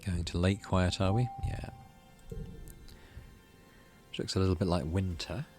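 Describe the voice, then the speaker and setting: A man's voice: deep voice, a little slow, music in the background. A man hosting a gaming video, narrating on-screen story text and adding casual commentary. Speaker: gaming channel host. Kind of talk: let's play narration, reading game text with casual commentary.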